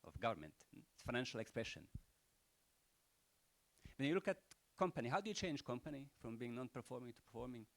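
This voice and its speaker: A man's voice: medium pitch, animated, quick pace, pauses often. An older man with a Croatian accent giving rambling, unscripted closing remarks at a panel event, full of rhetorical questions and "you know".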